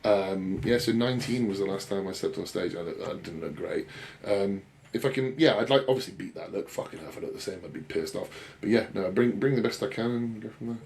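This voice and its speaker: British bloke in his 30s, lowish voice, chatty podcast host, rambles, swears, takes the mick out of himself. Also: fairly quick, vocal fry, darkish tone, slight background noise. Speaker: podcast co-host and bodybuilding coach. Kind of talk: casual podcast chat.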